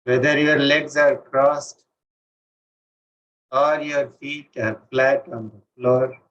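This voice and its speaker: A man with an Indian accent leads a guided meditation, speaking calmly and deliberately with pauses between phrases. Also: mid-range voice. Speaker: meditation teacher. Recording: dull, lo-fi online session audio.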